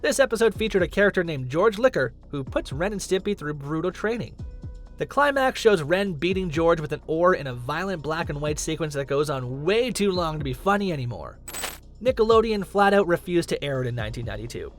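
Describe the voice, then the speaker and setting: A man's voice: a little high, very animated, fairly quick. A man reading scripted countdown narration as the host of a list video. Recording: music under the voice. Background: background music.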